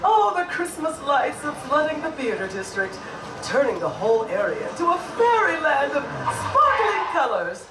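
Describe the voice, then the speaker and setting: A woman playing a genial, excitable theatergoing hostess, narrating an old-time radio show in a theatrical, gushing way. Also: sits high, moderate pace. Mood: gushing, excited. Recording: roomy live stage with music.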